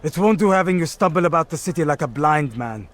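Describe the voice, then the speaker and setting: An actor playing a bitter, disdainful keeper of an assassins' bureau in a video game, formal and scornful in manner. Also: male voice, on the high side, lively intonation, fast talker, close to an everyday voice. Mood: grudging, instructive.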